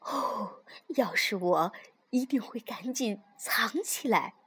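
A woman voicing a gentle, doting, easily worried mother fox in a storybook, speaking softly and expressively. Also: on the high side, slow pace, husky, heavily acted. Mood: nervous, admiring. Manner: expressive, coaxing.

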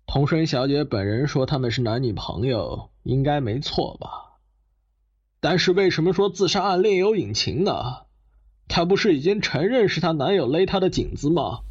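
A man's voice: on the high side, expressive, breathy edge. An actor playing a skeptical middle-aged father who objects conversationally in a Chinese audio detective drama. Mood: puzzled. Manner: doubting, conversational, quick to object. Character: skeptical middle-aged father, matter-of-fact relative in family debate. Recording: slightly muffled, music underneath.

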